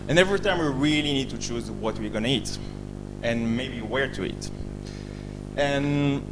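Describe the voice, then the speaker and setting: A man from Belgium pitches an app, with pauses and self-corrections as he goes. His pitch is middling. A steady hum and clear noise sit under his voice. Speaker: bootcamp student presenter. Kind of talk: demo day product pitch. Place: event stage, live presentation.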